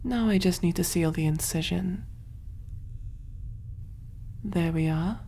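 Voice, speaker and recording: Slow, soft-spoken alien woman surgeon, acted by a woman. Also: lowish voice, a little hiss or hum. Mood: calm, focused. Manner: gentle, measured, reassuring. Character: kind, clinical alien woman surgeon, soothing and curious. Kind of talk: ASMR roleplay, soft-spoken with whispering.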